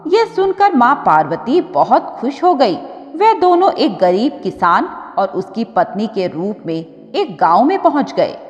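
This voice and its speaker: A woman reading a scripted devotional story in an expressive, measured storytelling manner. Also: medium pitch. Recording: dull audio with long reverb.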